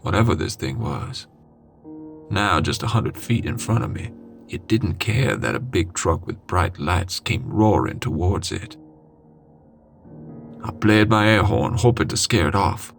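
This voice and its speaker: A man reading a scary first-person story in a dramatic, suspenseful way with deliberate pauses. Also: low voice, lively intonation, creaky. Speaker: horror story narrator. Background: music.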